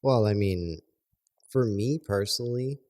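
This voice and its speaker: A young man co-hosting a podcast in casual chat, calmly explaining his view in a measured way. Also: fairly low pitch. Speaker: podcast co-host.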